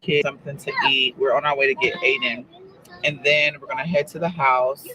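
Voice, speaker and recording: A woman's voice: rumbling low, steady tone, a little fast. A woman narrating a casual vlog about her day, in plain everyday talk. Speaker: family vlogger, mother. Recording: distant mic with clear background noise.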